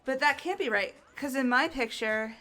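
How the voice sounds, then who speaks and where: A woman's voice: high-pitched, normal speed, pushed and bright, soft background music. A woman voicing an upbeat teenage girl in an amateur game dub, talking in an earnest, protesting way. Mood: puzzled.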